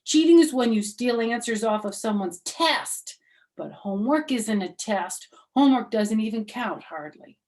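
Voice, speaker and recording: A small, dramatic schoolgirl from a children's storybook, put on by a woman reader. She speaks high and emphatically, with childish grammar and a whiny, defensive edge. She is cheeky and very excitable. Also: moderate pace, natural voice.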